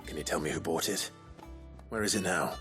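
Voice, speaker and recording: An earnest young fantasy hero with a low, intense voice. He speaks politely and with restraint, as game dialogue, over faint music. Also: brisk, a bit bright. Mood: calm, earnest. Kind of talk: dramatic video game dialogue.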